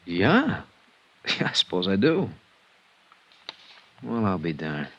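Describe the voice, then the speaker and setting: Affable, evasive suspect, husky. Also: male voice, deep voice, huge pitch swings, slow pace, a bit bright, full character voice. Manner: chuckling, friendly, evasive. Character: affable, evasive suspect man, mid-thirties. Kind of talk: 1950s radio drama acting. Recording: dull old radio recording.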